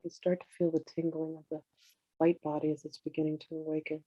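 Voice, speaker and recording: Slow, even-toned guided meditation voice, muffled audio. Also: medium pitch, no echo. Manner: soothing, unhurried, gently instructing with drawn-out phrases.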